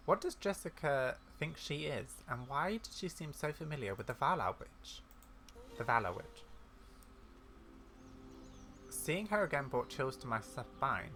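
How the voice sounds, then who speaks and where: A defiant, loyal heroine rider in a fantasy game, read aloud by a gamer. The voice is bright, brisk and middling in pitch, with music behind.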